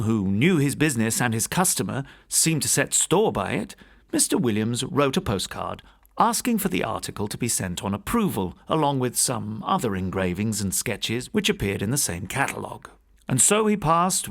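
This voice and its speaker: A man narrating a classic gothic ghost story in a measured, formal, theatrical storyteller's manner. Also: mid-range voice, vocal fry, a bit bright. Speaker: audiobook narrator and podcast host.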